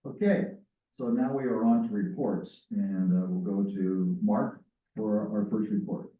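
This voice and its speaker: A man chairing a library board meeting, speaking haltingly with frequent filler sounds. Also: fairly low pitch, steady tone. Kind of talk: running a public board meeting. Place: council meeting room. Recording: echoey chamber, distant tinny mic.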